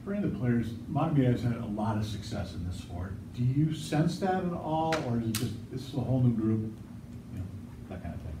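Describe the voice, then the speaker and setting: A man's voice: medium pitch, sing-song, a little fast. A man, a local reporter, asking rambling questions at a press conference. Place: press room. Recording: distant, echoey, noisy.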